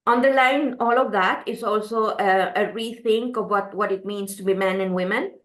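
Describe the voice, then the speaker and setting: A woman's voice: mid-range voice, normal speed, fairly bright tone. Chilean woman, earnest, roomy sound. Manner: earnest, many restarts and "you know". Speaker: gender adviser, interview guest. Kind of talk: thoughtful interview answers. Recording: roomy echo.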